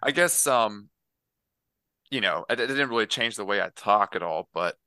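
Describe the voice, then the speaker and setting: A man co-hosting a podcast with a deep, sultry voice, telling casual, profane anecdotes in a wry, hesitant way. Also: lively intonation, normal speed, pushed and bright, smooth, dry recording, clean studio sound. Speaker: podcast co-host.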